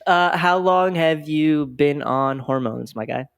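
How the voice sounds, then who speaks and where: A man's voice: on the high side, takes it slowly, gravelly, a bit bright. A man of twenty-five, hosting a podcast in an upbeat, chatty way with many "um" fillers. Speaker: podcast host. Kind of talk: casual chat. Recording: very echoey room, far from the mic.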